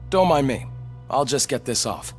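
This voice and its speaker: An actor playing a chatty, businesslike technician doctor in a video game, keeping up casual patter. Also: male voice, medium pitch, expressive, normal speed, faint music behind, natural voice. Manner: casual patter while working.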